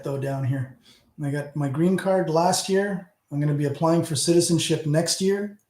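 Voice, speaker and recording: A man's voice: fairly high pitch, warm tone. A middle-aged man with a Southern Ontario Canadian accent, hosting a casual livestream chat, rambling and answering his viewers. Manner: rambling, talks back to chat, trails off. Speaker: channel host. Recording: roomy echo.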